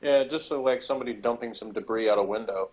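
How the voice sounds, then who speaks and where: A man's voice: mid-range voice, fast talker, darkish tone. A man co-hosting a podcast, giving casual, joking and sarcastic commentary on a TV episode. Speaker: podcast co-host. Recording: dull lo-fi recording.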